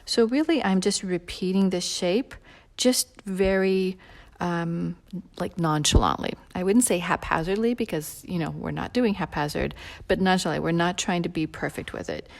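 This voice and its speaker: A woman leading a live drawing tutorial in a relaxed, rambling way with many fillers, sometimes dropping to a soft near-whisper as she works. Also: lowish voice, normal speed, vocal fry, few pauses. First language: not Portuguese. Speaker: drawing instructor.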